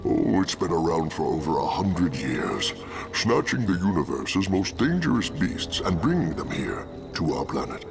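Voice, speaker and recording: An actor playing a grave older male villager in an animated game cutscene, speaking like a solemn, measured storyteller over music. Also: deep voice, gravelly, heavily acted. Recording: music behind the voice.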